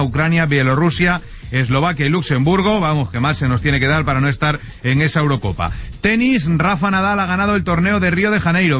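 Lively Spanish sports radio host. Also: male voice, on the high side. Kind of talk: radio sports news roundup. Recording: dull radio sound with music under.

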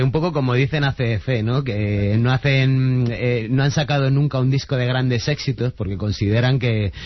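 A man from Spain, a musician, answering radio interview questions in a halting, thoughtful way. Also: mid-range voice, no echo. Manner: halting, many restarts, thoughtful. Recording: dry, muffled radio sound.

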